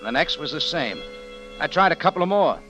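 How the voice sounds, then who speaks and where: An actor playing a tough, wry hardboiled adventurer who narrates and talks in an old-time radio drama. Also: male voice, fairly high pitch, big pitch swings, a little fast, sharp tone. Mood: wry, tense narration. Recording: dull vintage radio recording with music.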